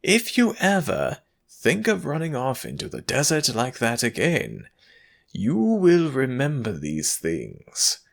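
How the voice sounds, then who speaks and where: A man acts out a cruel slave master in a theatrical audio roleplay. His delivery is extremely slow, with wildly varied intonation and a creaky edge. He lingers on words to taunt and belittle. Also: mid-range voice, huge pitch swings, full character voice. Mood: menacing, gloating. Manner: taunting, condescending, drawn-out emphatic phrasing. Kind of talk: ASMR audio roleplay, theatrical villain monologue.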